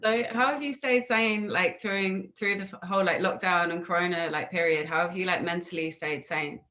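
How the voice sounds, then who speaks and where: Female host, slightly low register, informal conversational interview delivery. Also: fairly even intonation, brisk, pushed and bright. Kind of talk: casual interview chat. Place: remote video call.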